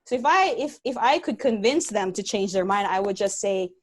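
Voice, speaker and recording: A woman's voice: mid-range voice, animated, moderate pace. A woman, an environmental scientist, giving an earnest, conversational webinar talk over a video call. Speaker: guest speaker, environmental scientist.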